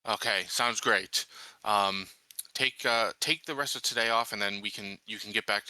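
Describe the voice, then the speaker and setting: Male roleplayer, lowish pitch with a strikingly bright edge, hesitant off-the-cuff delivery, casual. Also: normal speed, no echo, rough recording. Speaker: player in a tabletop roleplaying game.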